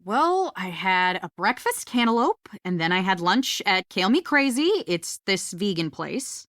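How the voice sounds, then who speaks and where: A woman's voice: medium pitch, sing-song, measured pace, a bit bright, no room sound, clean studio sound. A woman playing an earnest, quirky young woman with a nervy, chatty manner in a video game. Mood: puzzled, chatty. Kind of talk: game voice acting.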